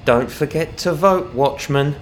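A man voicing a cruel, mocking dark elf villain in a fantasy audio story, with a drawn-out, taunting delivery. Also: fairly high pitch, slight background noise, voice pushed up, close to an everyday voice. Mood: cruel glee.

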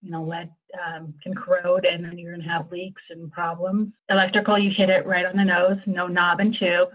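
A woman's voice: on the low side, level tone, moderate pace. An American woman giving conversational expert explanations as an insurance agent, in a chatty, agreeable, informal way. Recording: thin, phone-like call audio.

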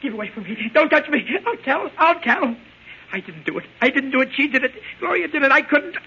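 An actor playing a panicky, cowardly small-time crook, stammering and slangy, in 1940s radio acting style. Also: male voice, extremely high pitch, big pitch swings, fairly quick, creaky, bright, forceful tone, heavily acted. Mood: panicked pleading. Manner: frantic, stammering, slangy pleading. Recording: dull, noisy old radio broadcast.

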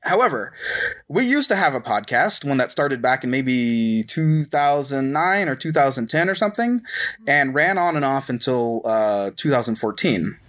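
A man's voice: medium pitch, very animated, normal speed, fairly bright tone. A man hosting a casual podcast, talking in a rambling, self-deprecating, dryly joking way. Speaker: podcast host at a game merchandise company. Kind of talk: casual chat, self-introduction.

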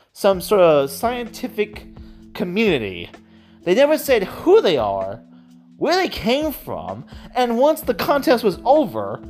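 An excitable, giggly speaker rambling casually about a favourite hobby, often repeating and stammering over words. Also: medium pitch. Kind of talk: casual rambling monologue about audio dramas.

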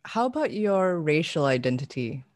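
Interviewer from Malaysia, attentive and brief, mid-pitched voice. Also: sing-song, normal speed, darkish tone. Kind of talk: casual interview.

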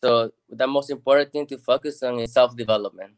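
A man's voice: mid-range voice, steady tone, brisk. A man from Peru as a guest on a video-call interview, earnest and modest, with many casual fillers.

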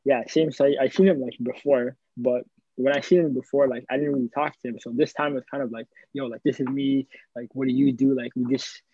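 A man's voice: medium pitch, fairly even intonation, fairly quick, mellow, slightly rough, dry recording, highs cut off. A man co-hosting a podcast in casual chat, talking loosely with many "like" and "you know" fillers. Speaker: podcast co-host.

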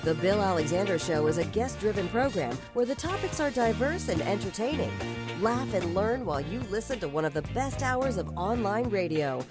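A woman's voice: medium pitch, very animated, normal speed. A female announcer reading a scripted show introduction in an emphatic, promotional way. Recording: voice-over with music behind.

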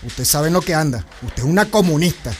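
A man's voice: on the high side, expressive, a little fast, lightly acted. Man acting stern school official. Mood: cold, reproving. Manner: curt, formal, reproving. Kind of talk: radio drama acting.

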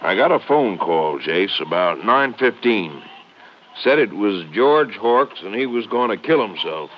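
Earnest small-town Texas sheriff, lowish voice, plain-spoken, muffled vintage radio drama sound. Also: male voice, sing-song, a little slow. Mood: earnest, concerned. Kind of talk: 1950s radio drama acting. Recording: old muffled radio recording, faint noise.

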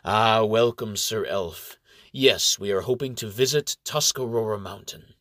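A man's voice: on the low side, a little slow, a bit bright, dry recording, studio quality. A man voicing a courtly male inquisitor who speaks formally and piously. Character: courtly male inquisitor leading a friars' party.

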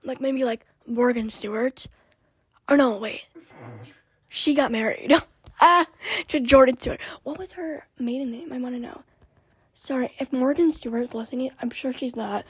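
A young woman hosting a podcast with a raspy voice, chatting in a scattered, rambling way and often dropping into whispered asides. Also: high voice, moderate pace, no echo. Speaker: podcast host. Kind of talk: casual rambling chat.

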